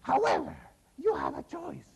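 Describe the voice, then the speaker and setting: A man voicing Lady Ragnell, an enchanted young noblewoman in a spoken fairy tale, with a high, earnest voice. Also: wildly swooping pitch, normal speed, vocal fry, slightly rough, full character voice. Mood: pleading. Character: enchanted young noblewoman, bride under a spell.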